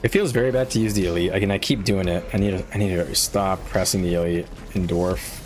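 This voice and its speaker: A man's voice: low voice, fairly even intonation, quick pace, darkish tone, faint music behind. A male streamer giving live gameplay commentary, frustrated and swearing as he thinks aloud. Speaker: game streamer.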